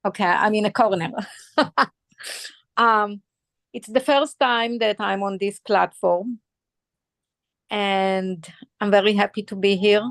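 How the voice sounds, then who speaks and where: A middle-aged woman with an Israeli accent joins a video call. She talks slowly and earnestly, searching for her words as she goes. Her voice has a medium pitch and sounds dry. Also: fairly even intonation, vocal fry, dry recording. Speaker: call participant.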